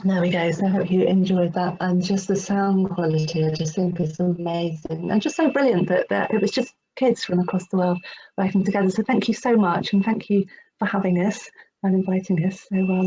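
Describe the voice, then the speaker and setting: A woman's voice: on the low side, fairly even intonation, fairly quick, mellow. Enthusiastic woman on a dull, noisy call. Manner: enthusiastic, effusive. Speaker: presenter. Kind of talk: informal spoken presentation. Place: online video meeting. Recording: dull, lo-fi online call with noise.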